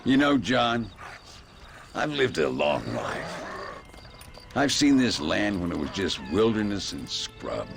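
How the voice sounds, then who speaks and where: A weathered old gunslinger for a western game. The voice is low and full of gravel, swinging widely as he spins wry, world-weary tales, with music underneath. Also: very animated, slow pace, pauses often, exaggerated voice. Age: older. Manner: wry, drawling storytelling. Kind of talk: western video game acting.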